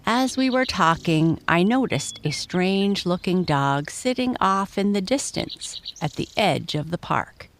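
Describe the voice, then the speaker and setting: A woman reading a scripted bedtime story for children as its narrator. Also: lowish voice.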